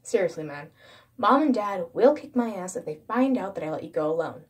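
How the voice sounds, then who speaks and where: A woman's voice: medium pitch, expressive, brisk, husky. A woman voicing an irritated but protective teenage older brother in a horror story, scolding in casual slang. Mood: annoyed. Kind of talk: horror story narration with acted dialogue.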